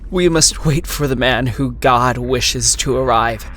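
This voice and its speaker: A man voicing a fanatical, reverent heretic priest who declaims slowly and loudly in a horror story. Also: medium pitch, slight background noise, natural voice. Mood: fervent reverence. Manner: slow, loud, declaiming. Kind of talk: dramatic horror story acting.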